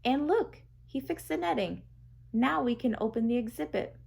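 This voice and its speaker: Woman as a high-voiced, helpful lady zookeeper. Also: animated, normal speed, vocal fry, darkish tone, breathy edge, full character voice. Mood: excited. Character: friendly, helpful female zookeeper in a children's story.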